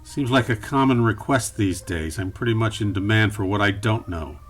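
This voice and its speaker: A man's voice: on the low side, normal speed, fairly bright tone, faint music behind. A man playing a world-weary, wisecracking private eye in a campy noir radio play, dry and wry. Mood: defiant. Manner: dry, wry, hard-boiled. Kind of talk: campy noir dialogue and narration.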